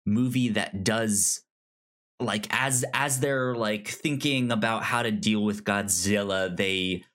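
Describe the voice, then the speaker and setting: A man co-hosting a casual film discussion podcast, speaking haltingly and often stammering over repeated syllables. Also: fairly low pitch, a bit bright. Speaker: podcast co-host.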